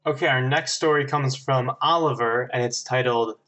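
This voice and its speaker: A man's voice: medium pitch, moderate pace, fairly bright tone. A man guesting on a podcast, reading emails and chatting casually, self-deprecating, often restarting his phrases, on a slightly dull microphone. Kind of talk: casual chat and reading listener emails aloud.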